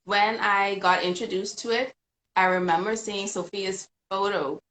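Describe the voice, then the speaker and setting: A woman's voice: medium pitch, fairly even intonation, unhurried, fairly bright tone, reverberant, a little hiss or hum, rough recording. A woman from the Bahamas, a guest chatting casually on a live stream and laughing often.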